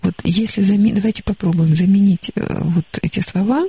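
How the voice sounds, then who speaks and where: A woman psychologist counselling a caller on a studio radio call-in show, calm and gently advising. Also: mid-range voice, fairly quick, husky, dry recording.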